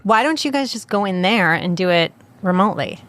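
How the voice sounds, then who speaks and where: A woman's voice: medium pitch, expressive, a little fast, fairly bright tone, a little hiss or hum. An American woman hosting a local talk show, chatty and eager, asking lots of questions. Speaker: host. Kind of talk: casual chat.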